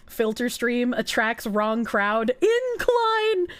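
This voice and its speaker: A woman's voice: a little high, very animated, takes it slowly, smooth. A woman with a Boston accent streaming and chatting casually with her viewers, swearing freely and laughing a lot, while she is getting over a cold. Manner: laughs often, swears freely, rambles in tangents. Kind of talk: casual chat, reading and answering viewer chat.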